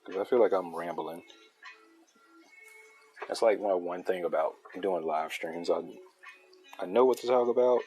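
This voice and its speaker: Male streamer-artist, very low soothing voice, relaxed rambling chat. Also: unhurried, long pauses, faint music behind, poor audio. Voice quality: deep, soothing voice. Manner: laid-back, loose and chatty, with laughs and restarts. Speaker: artist drawing a comic on a livestream. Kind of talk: casual rambling chat while drawing.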